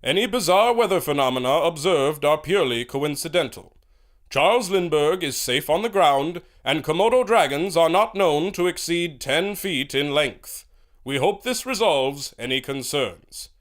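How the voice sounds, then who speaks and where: A man's voice: a little high, expressive, moderate pace, lightly acted. A man playing an earnest, formal announcer in a 1930s-style radio serial, with a dramatic salesman's delivery. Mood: solemn, formal. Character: earnest old-time radio announcer reading adverts and bulletins.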